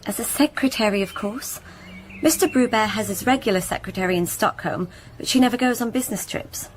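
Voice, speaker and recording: Woman playing defensive female witness, slightly high voice, curt, evasive, radio drama, faint noise. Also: a little fast, close to an everyday voice. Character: defensive, put-upon woman witness with a shady past. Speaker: actress in a radio crime drama.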